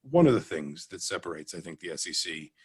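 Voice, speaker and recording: Very deep-voiced American sports reporter, man. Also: expressive, a little fast, darkish tone, slightly rough. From Atlanta, Georgia, US. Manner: reflective, hedging, circles back mid-sentence. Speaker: guest sports reporter, newspaper beat writer. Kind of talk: interview answers, sports talk.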